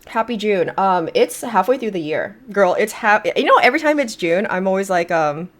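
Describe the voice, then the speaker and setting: A woman's voice: mid-range voice, animated, normal speed, fairly bright tone. A woman co-hosting a casual podcast with her sister, chatty and full of fillers, often saying "girl.". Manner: chatty, says "girl" a lot. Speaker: podcast co-host. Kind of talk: casual chat between sisters.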